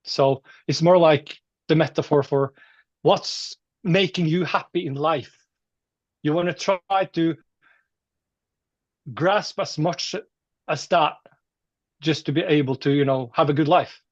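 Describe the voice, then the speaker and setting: A man with a Norwegian accent, a musician chatting casually and haltingly in an interview. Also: on the high side, dry recording, lo-fi sound. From Norway. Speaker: metal musician being interviewed.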